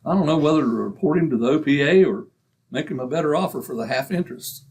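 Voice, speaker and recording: A man's voice: fairly high pitch, expressive, fast, darkish tone, breathy edge. A man acting a stubborn, penny-pinching husband in old-time radio comedy, indignant and folksy in manner. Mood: angry muttering.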